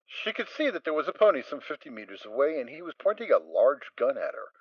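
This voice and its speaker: A man narrating a story in an expressive reading style. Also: a little high, fast talker, no echo. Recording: dry, dull sound.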